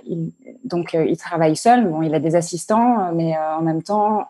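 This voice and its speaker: A woman coach answering interview questions on a video call, halting and full of fillers and restarts. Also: sits low, warm tone, low-quality recording. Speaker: online coach and entrepreneur.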